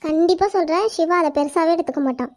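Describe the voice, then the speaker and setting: A woman's voice: very high voice, fast talker, darkish tone. A woman voicing a caring, bossy young woman who comforts her friend firmly. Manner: soothing, firm.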